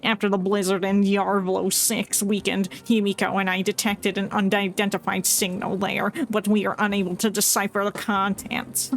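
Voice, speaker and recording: A woman's voice: medium pitch, little pitch movement, moderate pace, gravelly, few pauses. A woman giving casual, rambling livestream commentary on a game and reading its text aloud. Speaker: game streamer. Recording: game music faintly behind the voice.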